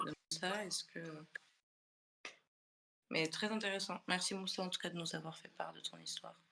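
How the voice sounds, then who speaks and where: A woman's voice: fairly low pitch, fairly even intonation, normal speed, gravelly, pushed and bright, a little hiss or hum. A woman from the Paris suburbs hosting a casual online group discussion, thoughtful and searching for her words as she goes, on a voice call from home. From France, Paris suburbs. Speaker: host.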